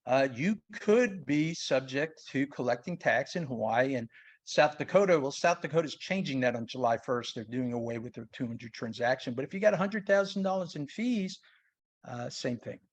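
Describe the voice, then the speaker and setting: A man's voice: medium pitch, lively intonation, normal speed. A man with a New York accent giving an informal explanatory webinar talk in a conversational, plain-spoken way. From New York, United States. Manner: conversational, plain-spoken, uses everyday examples. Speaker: sales tax consultant presenting.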